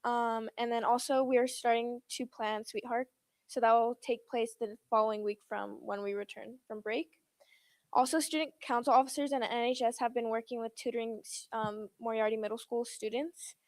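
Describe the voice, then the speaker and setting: A woman's voice: a little high, fairly even intonation, moderate pace. A teenage girl from student council giving a chatty report to the school board. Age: teens. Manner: chatty, with many fillers like "like".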